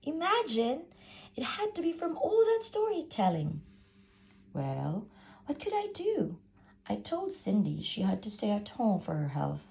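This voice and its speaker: A haughty wicked stepmother from a storybook, acted out by a woman, full of exasperation and self-pity. Her voice swoops widely up and down, with a gravelly creak as she exclaims. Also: a little high, huge pitch swings, unhurried, darkish tone, pitched above the performer's own voice, exaggerated voice. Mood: mock innocent. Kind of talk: storybook first-person narration.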